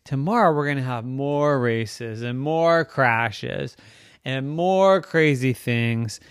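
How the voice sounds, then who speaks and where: A man's voice: medium pitch, slow pace, clear, resonant tone, no echo, studio quality. A man voicing a grumbling, weary toy car in a bedtime story, scolding and argumentative. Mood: resigned. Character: grumbling, weary neighbor cars bickering in a jar.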